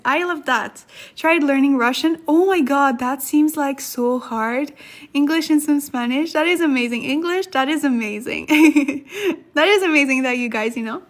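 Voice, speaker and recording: High-pitched, giggly woman livestream host. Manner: giggly, chatty, repeats herself for emphasis. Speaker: livestream host, language study partner. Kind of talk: casual livestream chat and lesson, reading viewer comments.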